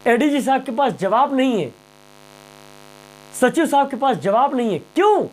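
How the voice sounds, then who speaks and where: A man's voice: extremely high pitch, lively intonation, moderate pace, slight background noise, rough recording. A man presenting impassioned news commentary straight to viewers, emphatic and rhetorical, repeating phrases and questions.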